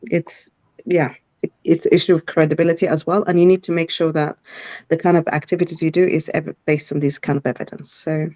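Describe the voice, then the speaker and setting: A woman's voice: deep voice, little pitch movement, brisk, dark tone, no echo. Middle-aged woman, CEO guest on a live video call, thoughtful and full of ums, dull dry audio. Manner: thoughtful, many fillers and restarts. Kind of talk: live online interview.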